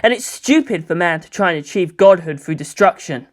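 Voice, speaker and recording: A man's voice: high voice, animated, fairly quick. A man voicing a reluctant, earnest and defiant teenage boy hero in a dramatised fan fiction reading, speaking with intensity.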